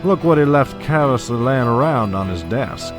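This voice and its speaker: A man playing an older, scheming oil tycoon in an audio drama, blackmailing with mock politeness and quiet menace. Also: medium pitch, animated, moderate pace, close to an everyday voice. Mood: smug menace. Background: music.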